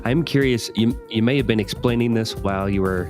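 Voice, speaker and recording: A relaxed male co-host with a lowish voice. He asks curious follow-up questions in an easy, conversational tone. Music plays clearly behind him. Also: brisk. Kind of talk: casual chat. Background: music playing.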